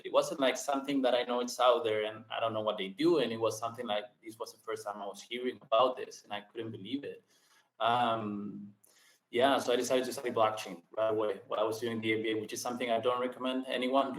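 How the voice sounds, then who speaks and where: A man in his thirties from Argentina talks in a casual interview. He speeds up in bursts and fills his pauses with ums, and the room around him sounds echoey. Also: medium pitch. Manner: quick bursts, many ums, restarts. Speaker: podcast guest. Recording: roomy echo.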